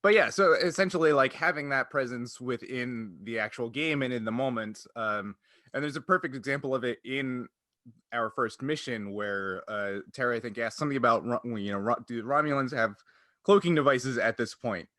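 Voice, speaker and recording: Bright-voiced man chatting on a podcast. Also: medium pitch, normal speed, dry recording, clean studio sound. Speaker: podcast host. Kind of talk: casual chat about sci-fi game lore.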